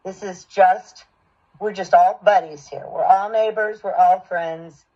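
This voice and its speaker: Husky woman coach, dull recording. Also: mid-range voice, fairly even intonation, unhurried, dark tone. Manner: encouraging, explanatory. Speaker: voice acting coach. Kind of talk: giving direction and feedback. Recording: dull, lo-fi sound.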